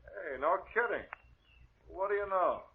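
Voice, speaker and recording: An actor playing a breezy, callous young pilot with an American accent in a 1940s radio drama. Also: male voice, sits high, lively intonation, measured pace, vocal fry, exaggerated voice. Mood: offhand, brisk. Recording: noisy, narrow old radio recording.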